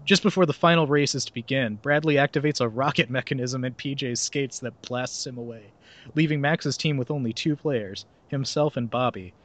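A man's voice: mid-range voice, normal speed, fairly bright tone. A young man hosting a comedy podcast, reading a film synopsis aloud and breaking off into laughter and sarcastic asides. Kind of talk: casual comedic podcast chat.

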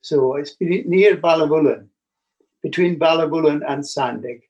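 An older man from Scotland, a guest telling family stories over a video call, speaking in a halting way with many restarts. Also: fairly high pitch, a little mellow, breathy edge, dull audio.

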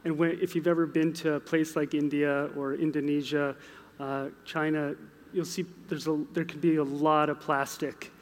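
An American man with a slightly high voice speaks on a live panel in a big, reverberant hall. His delivery is unscripted, wry and joking. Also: level tone, moderate pace. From United States. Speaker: author on a panel. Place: large indoor hall at a live museum event.

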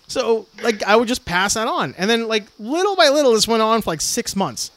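A young man chatting casually on a podcast, telling a long story with frequent laughs and lively retellings. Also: high voice, a little slow, a little hiss or hum. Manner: rambling storyteller, laughs often, animated retellings. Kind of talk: casual chat.

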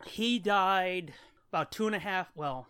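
A man's voice: high-pitched, unhurried, bright, forceful tone, clean tone. A man co-hosting a podcast in casual comedic chat, profane, irreverent and joking. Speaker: podcast co-host.

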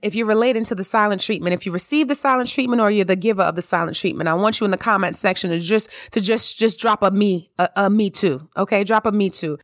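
A married woman hosting her own podcast, talking in an expressive, emphatic monologue with plenty of repetition. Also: mid-range voice, quick pace, few pauses, no echo, clean studio sound. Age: adult, married. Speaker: podcast host. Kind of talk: casual monologue.

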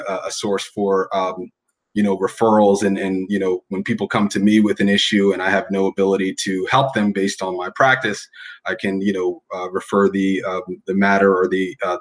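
A man's voice: lowish voice, rough recording. A man speaking as a bar committee co-chair, hesitant and heavy with fillers and restarts. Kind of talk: informal spoken remarks.

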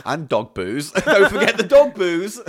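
A man's voice: sits high, huge pitch swings, a little slow. A middle-aged man, a little tipsy, co-hosting a bawdy podcast chat in a giggly, crude, teasing way. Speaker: podcast co-host.

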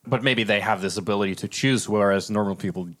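A man's voice: fairly low pitch, fast talker, a bit bright. A man talking informally and conversationally as a podcast co-host in a casual film discussion.

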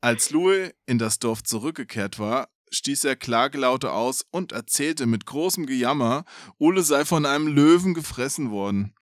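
A man tells a folk tale in an expressive read-aloud style. His pitch is average but swings in a lively way, and the recording is very dry. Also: normal speed, no room sound. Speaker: podcast storyteller.

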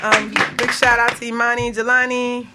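A woman's voice: on the high side, little pitch movement, moderate pace. A woman hosting a radio show in an informal, conversational style. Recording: loud background noise with clicks.

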